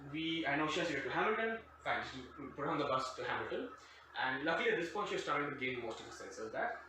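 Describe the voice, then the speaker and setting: A man telling a casual comic anecdote in a wry, sarcastic, digressive way. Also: a little high. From grew up outside the country where they now live. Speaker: storyteller, host of a storytime show. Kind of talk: casual comedic anecdote storytelling. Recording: very echoey room, distant mic, faint noise.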